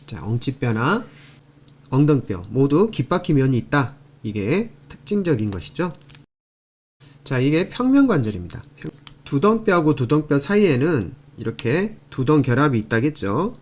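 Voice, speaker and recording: Male anatomy lecturer walking through slides, repetitive, explanatory, pitch moves a lot. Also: very animated, normal speed. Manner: explanatory, repeats terms, frequent fillers and pointing phrases. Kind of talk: recorded lecture over slides.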